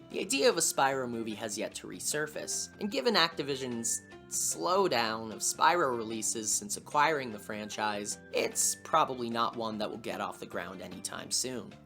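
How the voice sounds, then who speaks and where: Very low-voiced woman narrating, lively delivery. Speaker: host of a video series on lost media. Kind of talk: scripted video essay narration.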